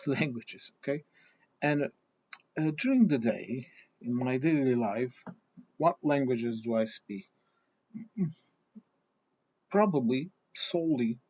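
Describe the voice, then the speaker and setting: Man, english, mid pitch, halting with restarts, slightly dull lo-fi sound. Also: a little mellow. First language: Portuguese and German. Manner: hesitant with many restarts, fillers and repeated "okay?". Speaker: vlogger. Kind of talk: opinion talk to camera.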